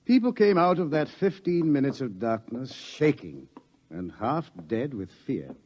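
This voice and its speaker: Male radio drama scientist: middle-aged, ominous, deliberate lecturer, lively intonation, dull old recording. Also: mid-range voice. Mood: darkly wry. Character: authoritative older male scientist explaining impending doom.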